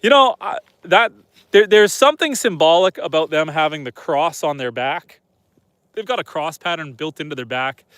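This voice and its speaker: A man's voice: a little high, normal speed, smooth, dry recording. A Canadian man in his thirties with a stuffed-up nose from a head cold, chatting casually on a live stream from outdoors at night. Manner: relaxed, chatty, soft-spoken to the animals. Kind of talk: casual live-stream chat reading comments.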